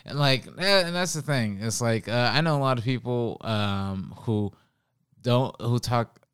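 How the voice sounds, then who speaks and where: A man's voice: mid-range voice, measured pace, a bit bright, clean tone. A young man chatting casually as a podcast guest, rambling and slangy. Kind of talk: casual chat.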